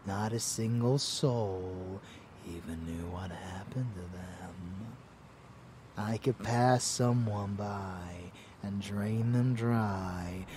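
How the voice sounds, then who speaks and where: Rebellious, doting vampire lover played by a man, ASMR audio drama. Very unhurried, low, intimate, sinister, slipping into whispers. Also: dark tone, slight background noise. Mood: boastful, menacing. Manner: intimate, drawling, often dropping to whispers. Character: rebellious murderous male vampire lover, doting yet bloodthirsty.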